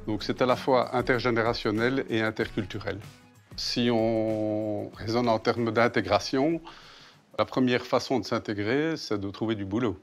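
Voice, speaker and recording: A man over fifty, a mentor, explaining deliberately and slowly in an interview. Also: on the low side, fairly even intonation. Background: soft music.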